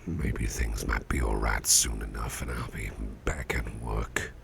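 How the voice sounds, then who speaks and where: A man playing a sickly, grateful and affectionate boss in a whispered ASMR roleplay, speaking slowly and hesitantly in a gravelly, breathy, folksy way. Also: rumbling low, full character voice. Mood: gently hopeful. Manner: slow, hesitant, folksy and tender. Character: sickly male boss, grateful, affectionate, self-deprecating workaholic. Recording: noticeable background noise, soft ambience.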